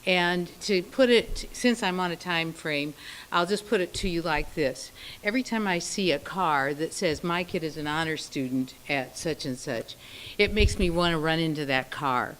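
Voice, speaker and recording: A woman's voice: sits low, normal speed, fairly bright tone, a little hiss or hum, low-quality recording. Impassioned woman giving public comment. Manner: passionate, rhetorical questions. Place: board meeting room.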